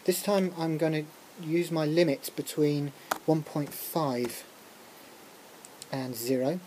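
Informal tutorial lecturer, mid-pitched, halting and self-interrupting, muttering asides, noisy recording. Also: steady tone. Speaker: music technology lecturer. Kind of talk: informal software tutorial, talking through a demonstration. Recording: clearly noisy recording with room ambience.

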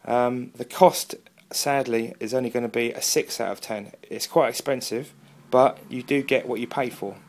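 A man's voice: medium pitch, steady tone, normal speed, hardly any pauses, a little mellow. Rambling Englishman, casual and self-deprecating. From England. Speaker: amateur radio hobbyist and video reviewer. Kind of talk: casual product review and radio chat. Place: indoors at a wooden table, off camera.

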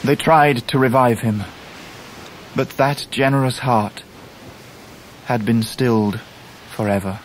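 An actor playing an earnest grown gentleman who narrates his own story in a radio play, with an English accent and measured, vivid delivery. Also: mid-range voice, steady tone, lightly acted. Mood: grave, sorrowful. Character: grown David Copperfield, earnest grieving male narrator. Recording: noisy surf and wind behind voice.

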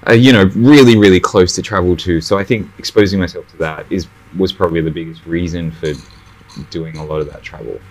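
A man in his thirties, born in India and raised in Australia, talking as a guest in a casual chat at a restaurant, animated and full of fillers. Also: sits low, fairly quick. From born in India, grew up in Australia. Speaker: guest artist. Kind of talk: casual conversation. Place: restaurant, indoors.

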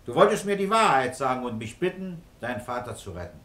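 An actor playing a calm, fatherly middle-aged police commissioner in a German radio crime drama, patient and persuasive as he coaxes and probes. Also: mid-range voice, quick pace, roomy sound. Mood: calm persuasion.

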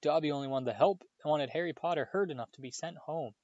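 A man doing a tiny, trembling male house elf from a storybook reading, squeaky and high, begging and fretting and tacking sir onto everything. His pitch leaps about nervously. The sound is slightly dull and dry. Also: quick pace, darkish tone, no room sound, lightly acted. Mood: pleading. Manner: squeaky, pleading, keeps saying sir. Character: small frightened male house elf, servile, tearful.

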